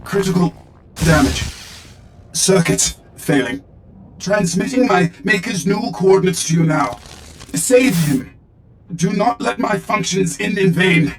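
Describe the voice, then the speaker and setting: A loyal research droid, high in pitch, stiff and mechanical as its circuits fail. A faint hum sits behind it. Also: male voice, expressive, measured pace, long pauses.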